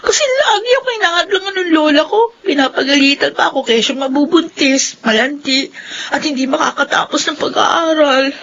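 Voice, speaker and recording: High-voiced, plaintive teenage girl. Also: lively intonation, fairly quick, a bit bright, muffled recording. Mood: bitter, confiding. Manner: plaintive, aggrieved. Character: put-upon, tearful eighteen-year-old Filipina student granddaughter. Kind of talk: Filipino radio drama acting.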